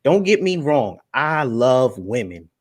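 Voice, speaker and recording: A man's voice: medium pitch, sing-song, slow. Emphatic preachy man. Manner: emphatic, preachy, repeats words. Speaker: guest speaker on finance. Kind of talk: casual livestream talk.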